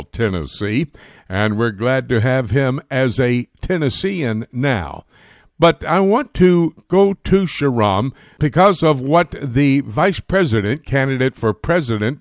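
An American man hosting a religious current-affairs radio interview, speaking in a formal, emphatic and deliberate way. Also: mid-range voice, dry recording, studio quality. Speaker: radio show host.

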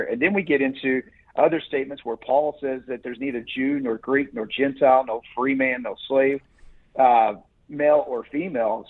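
A man's voice: mid-range voice, husky. A man who is a guest on the program, answering in a preacherly way with frequent restarts. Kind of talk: earnest interview answers. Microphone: phone. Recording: muffled phone line.

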